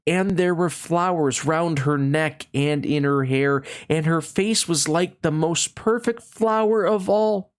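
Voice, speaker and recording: A woman reading a children's story aloud as a scripted narrator. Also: deep voice.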